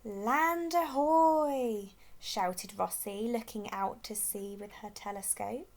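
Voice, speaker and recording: A woman's voice: medium pitch, steady tone, unhurried, creaky. A woman reading a children's storybook aloud expressively as a storyteller.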